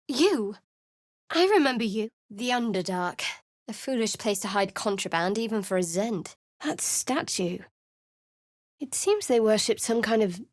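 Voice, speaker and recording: A woman's voice: mid-range voice, dry recording. An actress voicing a guarded, sardonic young female cleric in a video game, recorded close in a studio booth. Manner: dry, cool and sardonic. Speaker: game character voice actor. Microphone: close studio microphone.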